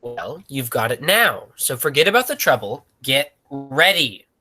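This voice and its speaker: Guy doing a fed-up circus boss, sharp lively voice, old time radio. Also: mid-range voice, measured pace, soft background music, heavily acted. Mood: placating. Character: exasperated male circus manager. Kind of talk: 1940s radio acting.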